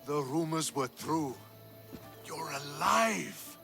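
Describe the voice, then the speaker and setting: An actor playing a shrewd, loyal Spartan officer in a video game, talking in a measured, explanatory way. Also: male voice, a little high, expressive, creaky, bright, forceful tone, soft background music, exaggerated voice. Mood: friendly relief. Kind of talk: dramatic video game cutscene dialogue.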